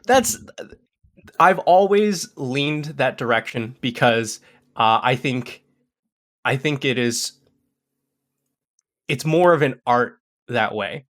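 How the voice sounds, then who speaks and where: A man in his thirties, a podcast guest, talking casually with halting restarts, self-deprecating humor and frequent laughs. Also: mid-range voice, animated, a bit bright. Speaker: podcast guest, content creator. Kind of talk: casual chat.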